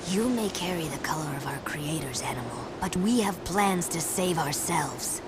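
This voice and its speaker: A woman voicing a grave, hostile desert monk in a video game, with a breathy, whispery, ominous delivery. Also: deep voice, lively intonation, moderate pace, heavy background noise. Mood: cold, disdainful. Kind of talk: animated video game voice acting. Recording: loud music behind the voice.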